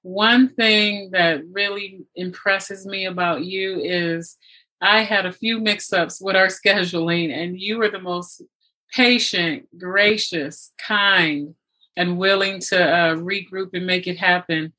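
A woman's voice: fairly low pitch, steady tone, slow pace, bright, forceful tone, rough recording. A woman hosting an interview podcast in a conversational, effusive and appreciative way. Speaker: podcast host.

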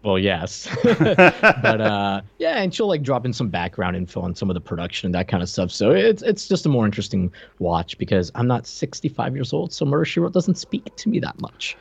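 A man's voice: mid-range voice, very animated, brisk, vocal fry, breathy edge, no echo. A man co-hosting a podcast, giving his opinions in casual pop-culture review chat. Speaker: podcast co-host. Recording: slightly muffled lo-fi mic, dry.

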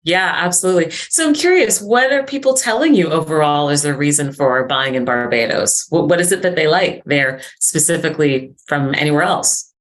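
A woman hosts a video interview in an upbeat, eager manner. She answers her guest with quick affirmations such as "perfect" and "awesome." Her voice sits a little low for a woman. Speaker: interview host.

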